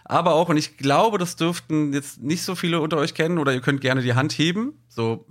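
A man's voice: on the high side, brisk, a bit bright. A man from Berlin chatting casually and sharing recollections, often interrupting his own train of thought. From Berlin, Germany. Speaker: podcast panellist. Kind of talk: casual chat, personal recollection.

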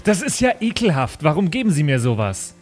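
Animated higher-voiced man at the game table. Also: brisk. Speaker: player in a role-playing game. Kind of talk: casual improvised table talk. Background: background music.

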